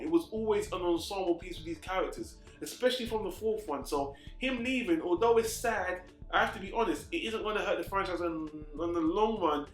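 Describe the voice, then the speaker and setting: A man's voice: high-pitched, fairly bright tone. A man hosting a casual movie review, emphatic and blunt in his opinions, swearing casually. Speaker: host of a film review channel. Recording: roomy echo with faint music.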